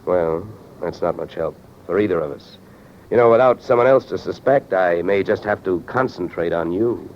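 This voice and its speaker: A man's voice: low-pitched, normal speed, a little hiss or hum, natural voice. An American man playing a wry, sardonic hard-boiled insurance investigator in old-time radio detective style. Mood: cool, needling. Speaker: lead actor and narrator. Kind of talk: 1940s-50s radio detective acting. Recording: dull old radio recording with music.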